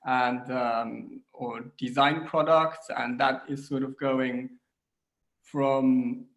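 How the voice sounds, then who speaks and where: Man from Switzerland, English speaker, halting speech full of ums, home kitchen, video call. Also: mid-range voice, flat delivery, a bit bright, poor audio. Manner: hesitant, many fillers and restarts. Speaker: designer, interview guest. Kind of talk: casual video-call interview.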